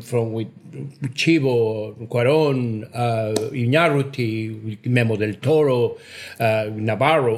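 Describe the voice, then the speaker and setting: A man's voice: medium pitch, slight background noise. An older man from Mexico, a Spanish speaker, answering interview questions effusively, repeating words for emphasis. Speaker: cinematographer, interview guest.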